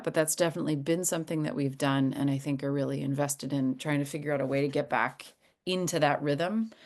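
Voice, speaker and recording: A woman working as a school administrator, giving an informal explanatory answer in a virtual information session. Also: low voice. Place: video call.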